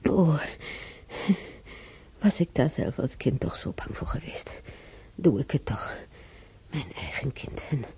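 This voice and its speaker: An actress playing a regretful old mother in a radio drama, halting and brooding, with a husky, creaky voice. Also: very deep voice, big pitch swings, dark tone, whispered, exaggerated voice. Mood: remorseful. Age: older. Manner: halting, brooding, repeating herself. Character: regretful old mother remembering beating her stubborn son.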